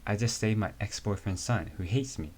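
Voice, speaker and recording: A man reads in his own low voice as a wry woman soldier looking back on a tense rescue. The reading is plain and unpolished, with little change from his natural speech. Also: moderate pace, a little mellow, natural voice. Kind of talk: amateur dramatic reading of a comic.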